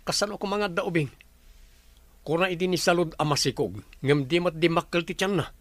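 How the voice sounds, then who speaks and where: A man's voice: a little high, a little fast, fairly bright tone, no room sound, close to an everyday voice. A man acting an earnest young boss with an Ilocano accent in a radio drama. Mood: puzzled.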